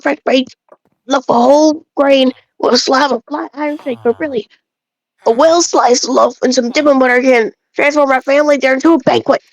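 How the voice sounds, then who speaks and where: A woman's voice: high-pitched, dull audio. A woman reading a scripted monologue aloud as an amateur performance. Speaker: amateur reader of a game character's dialogue. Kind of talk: dramatic read-aloud of scripted monologue with casual asides.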